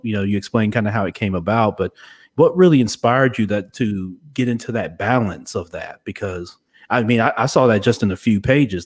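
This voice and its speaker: A man with a fairly low voice hosts a podcast interview. He talks in a conversational, wordy way, feeling his way toward each question and rephrasing as he goes. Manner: hesitant, wordy, circling back to rephrase.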